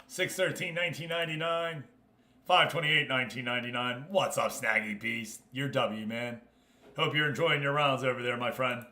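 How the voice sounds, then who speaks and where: A man's voice: medium pitch, steady tone, normal speed, bright, forceful tone. A man livestreaming a football management game, chatting casually with viewers and reacting aloud as he goes. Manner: informal, reacting aloud, talking to himself. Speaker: live streamer hosting a Football Manager league. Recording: roomy, echoing sound.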